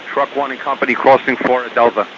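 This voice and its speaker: A man's voice: mid-range voice, flat delivery, quick pace. A man operating a fire truck radio, making short formal requests over a two-way radio. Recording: muffled, noisy vehicle radio transmission.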